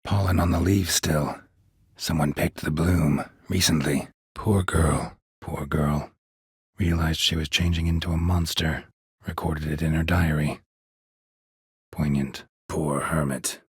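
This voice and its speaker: Game role: stoic male monster hunter, deep and very gravelly, terse, deadpan, world-weary. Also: measured pace, no room sound, heavily acted. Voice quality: gravelly, husky rasp. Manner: terse, deadpan, dry understatement. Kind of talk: video game character dialogue.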